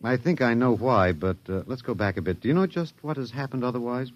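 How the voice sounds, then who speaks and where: An actor playing a wry, detached, philosophical American academic, musing and hesitant, in a 1950s radio drama. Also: male voice, mid-range voice, animated, a little fast, dry recording, close to an everyday voice. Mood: musing explanation. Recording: dull vintage 1950s radio recording.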